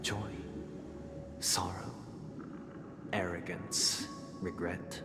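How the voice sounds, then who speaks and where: An actor playing a solemn noble man who heads a family, telling stories in a measured, formal way with soft, hushed phrases. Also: sits low, steady tone, vocal fry, heavily acted. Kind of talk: game dialogue voice acting. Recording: reverberant with game music.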